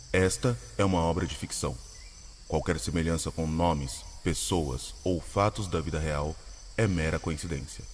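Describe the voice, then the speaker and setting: A man's voice: low voice, a little mellow, slight background noise. A Brazilian man delivering formal scripted announcements as an announcer.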